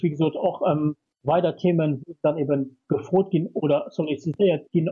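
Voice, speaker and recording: A Luxembourgish man answers a radio interviewer and hesitates often, with many fillers. His voice sits a little high and sounds husky and mellow. The recording is dull and muffled. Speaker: guest from a communication center.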